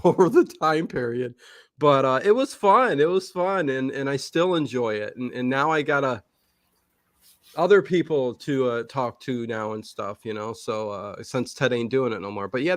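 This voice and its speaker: A man who hosts livestreams, telling stories casually in an animated, chatty way and acting out remembered remarks. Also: mid-range voice, normal speed, no echo, studio quality. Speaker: livestream channel host.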